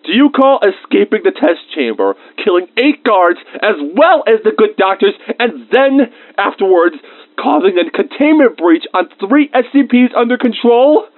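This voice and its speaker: A man's voice: high-pitched, big pitch swings, moderate pace, dry recording. A man playing a research scientist dictating a confiding, emotionally involved audio diary of lab logs. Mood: outraged, distraught. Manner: confiding, dictated log entries with emotional asides. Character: anxious male research scientist recording lab logs, increasingly shaken. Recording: phone-like thin band-limited sound.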